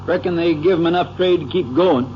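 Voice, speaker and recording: A man's voice: on the high side, brisk, close to an everyday voice. A man playing a folksy, talkative country sheriff in a 1950s radio drama. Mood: folksy, eager. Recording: muffled, noisy old-time radio recording.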